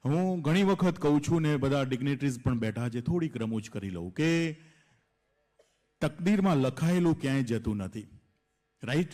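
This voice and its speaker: A man's voice: medium pitch, animated, normal speed, long pauses, a little mellow. A man working as an event anchor, addressing an audience with light humour in a conversational, questioning manner. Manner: conversational, rhetorical questions to listeners. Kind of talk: humorous stage anchoring to an audience.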